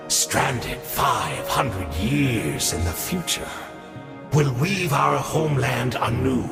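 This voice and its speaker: An actor playing a grandiose, scheming centuries-old villain in a video game, with theatrical, mock-courteous delivery and a gravelly voice. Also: male voice, mid-range voice, full character voice. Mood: exalted, fervent. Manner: theatrical, measured, mock-courteous, swelling into fervour. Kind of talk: dramatic video game voice acting. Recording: echoey hall reverb under music. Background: game score music.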